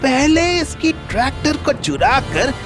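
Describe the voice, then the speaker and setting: A man voicing a jealous, scheming village chief in a children's story, grumbling in drawn-out phrases. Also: extremely high pitch, sing-song, over music, voice pushed up, full character voice.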